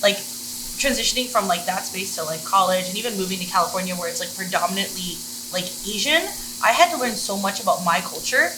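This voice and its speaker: A woman's voice: medium pitch, animated, fast. A young American woman telling personal stories casually and earnestly, peppering her sentences with "like". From Middleburg, Florida, United States. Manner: earnest, heavy use of "like". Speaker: guest, social media content creator. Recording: roomy, noisy room with sizzling food.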